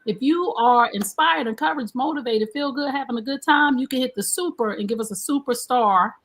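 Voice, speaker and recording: A woman's voice: high voice, a little fast. Chatty woman hosting a live show. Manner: chatty, animated storytelling. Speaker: host. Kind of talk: casual live-stream talk show.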